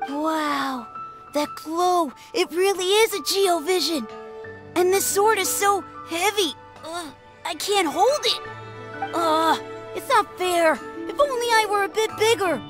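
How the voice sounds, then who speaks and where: An actor voicing an excitable, boastful young boy in a video game, with grandiose, dramatic delivery. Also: very high-pitched, takes it slowly, music in the background. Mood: awed, then whiny. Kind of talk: video game character dialogue.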